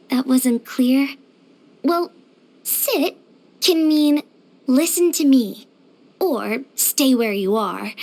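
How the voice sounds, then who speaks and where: A woman playing a cheerful, easygoing young craftswoman in a video game, with a gentle, chirpy, earnest manner. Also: sits high. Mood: apologetic, explaining. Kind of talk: video game character voice acting.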